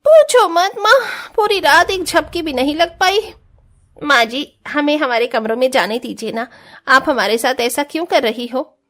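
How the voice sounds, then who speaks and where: A spoiled young rich wife from a cartoon family drama, voiced by a woman. She is haughty and whiny, with a very high pitch that swings up and down as she complains and talks back. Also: animated, moderate pace, a bit bright. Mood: whiny complaining.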